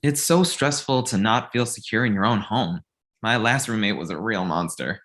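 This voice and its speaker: A man's voice: fairly low pitch, expressive, moderate pace, fairly bright tone. A man playing an anxious, bewildered young roommate in a comedy table read. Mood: concerned.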